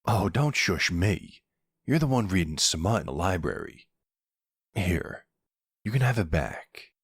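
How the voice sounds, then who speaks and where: Man playing a gravelly, very low-voiced mafia boss. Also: big pitch swings, dry recording, studio quality, exaggerated voice. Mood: teasing, playful.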